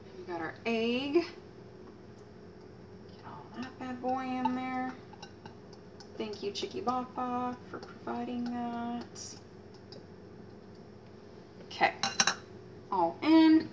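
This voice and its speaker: A woman's voice: fairly high pitch, slow pace, long pauses. Chatty woman cooking in her kitchen. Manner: chatty, self-teasing, lively exclamations. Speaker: home cooking channel host. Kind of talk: casual cooking demonstration. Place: home kitchen, indoors. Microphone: fixed camera mic at a distance. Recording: distant camera mic with faint room noise.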